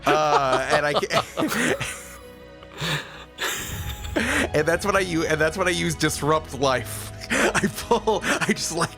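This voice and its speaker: A man's voice: on the high side, expressive, takes it slowly, vocal fry, long pauses, bright, forceful tone. A man playing a tabletop game, casually improvising narration of his character's moves in a playful way. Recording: music bed behind the voice.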